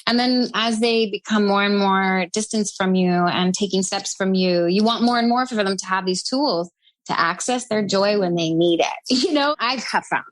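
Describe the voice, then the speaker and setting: A woman's voice: medium pitch, moderate pace, dry recording. A woman in her forties from South Dakota, a guest writer, talking reflectively in long, flowing thoughts. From South Dakota, United States. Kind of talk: casual conversation.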